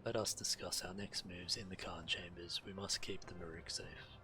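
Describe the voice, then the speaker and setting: A man streaming a video game, reading quest text aloud in a theatrical, deliberate way. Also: sits low, flat delivery. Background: faint game music.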